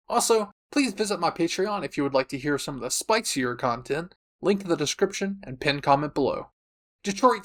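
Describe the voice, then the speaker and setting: A man's voice: on the high side, fairly bright tone. A man who creates audio roleplays, speaking as host in a scripted, upbeat channel outro.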